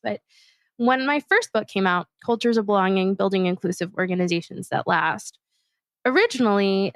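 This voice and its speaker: A woman hosting her own podcast, talking alone in a thoughtful, measured and personal way. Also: medium pitch, vocal fry, no room sound. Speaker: podcast host and facilitator. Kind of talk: reflective solo podcast monologue.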